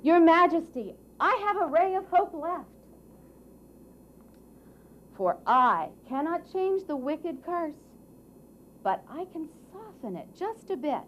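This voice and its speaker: A good fairy acted by a woman speaks in a high, lilting voice, kindly and solemn. She offers hope in a puppet-show manner, on a slightly muffled recording. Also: sing-song, slow pace, long pauses, a little hiss or hum, heavily acted. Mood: hopeful, reassuring. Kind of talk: live children's puppet show.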